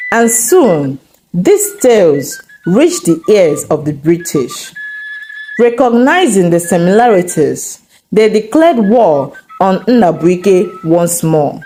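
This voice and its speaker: A woman narrates a folktale over background music, taking her time with each phrase. Her pitch moves in lively swings, giving the scripted storytelling a dramatic, measured feel. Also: rough recording.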